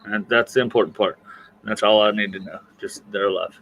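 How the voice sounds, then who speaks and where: A man's voice: lowish voice, fairly even intonation, brisk, a bit bright, low-quality recording. A man hosting a casual livestream panel chat in an informal, teasing way. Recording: faint background noise on livestream audio.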